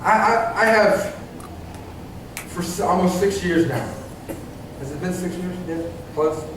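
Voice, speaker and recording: A man in his forties preaches from the pulpit in a high, conversational voice, stumbling into restarts and checking in with his listeners. He sounds distant, in a strongly echoing church. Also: noisy background. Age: 40s. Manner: halting, many restarts and stammered repeats; conversational, asks the congregation questions. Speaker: pastor. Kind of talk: sermon. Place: church sanctuary. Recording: very echoey church, voice from far off.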